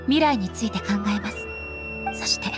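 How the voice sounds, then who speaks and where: An actress playing a solemn, composed female ruler in an anime game, speaking formally and earnestly with measured politeness. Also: a little high, expressive, music in the background. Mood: calm resolve. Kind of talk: scripted anime game dialogue.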